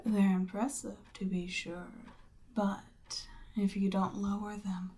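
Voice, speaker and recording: Casting: woman as domineering Demon Queen for ASMR roleplay, mid-pitched, unhurried, breathy half-whisper, smugly seductive. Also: level tone, mellow, natural voice. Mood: coaxing, menacing. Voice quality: breathy, often dropping into whisper. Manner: slow, smug, coaxing, commanding.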